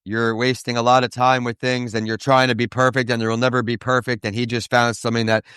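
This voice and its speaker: Podcast host guy, upbeat, big on praise, smooth clean voice, no room sound. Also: mid-range voice, level tone, a bit bright, no echo, clean studio sound. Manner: upbeat, praising, slow and emphatic at times. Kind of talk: hosting an interview.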